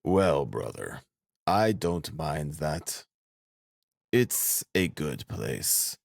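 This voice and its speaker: A man voicing a grimly calm, fatalistic man in an audiobook, speaking with deliberate, mocking nonchalance. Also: very deep voice, creaky, no room sound, clean studio sound, full character voice. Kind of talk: audiobook character acting.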